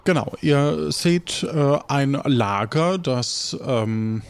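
A man's voice: mid-range voice, animated, extremely slow, clear, resonant tone. A man narrating a tabletop game as game master, hesitantly searching for words as he improvises.

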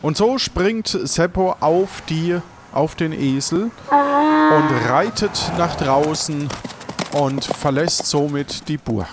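Slow-paced male adventure narrator. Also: fairly high pitch, animated. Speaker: narrator and game master. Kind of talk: improvised storytelling for an adventure audio play. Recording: noisy sound-effect ambience behind voice.

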